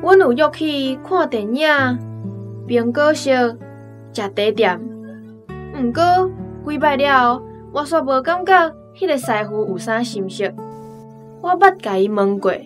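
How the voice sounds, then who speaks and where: A talkative, direct young woman in a radio drama, with a Taiwanese accent. Her voice is on the high side, and gentle guitar music plays faintly behind her. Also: lively intonation, measured pace. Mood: confiding. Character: frank, chatty young woman confiding about courtship. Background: plucked guitar music.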